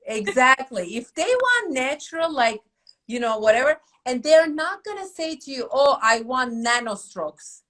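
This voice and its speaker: A middle-aged woman from Turkey with a Turkish accent, hosting a casual podcast chat in an animated, emphatic way. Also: high voice, a little slow. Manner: animated, emphatic, asks rhetorical questions. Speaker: academy host.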